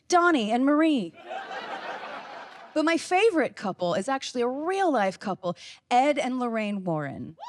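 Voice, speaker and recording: A woman delivers a scripted comedy monologue in a very high voice. Her manner is tongue-in-cheek throughout.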